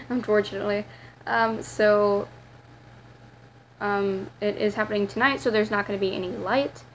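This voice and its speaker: A teenage girl with a raspy voice, talking to her camera in a rambling, casual vlog full of fillers and restarts. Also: medium pitch, steady tone, a little slow, pauses often, a little hiss or hum, rough recording. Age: teens. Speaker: vlogger.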